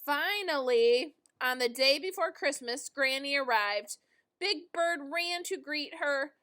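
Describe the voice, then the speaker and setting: A woman reading a children's storybook aloud in an expressive, deliberate storytelling manner. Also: high-pitched.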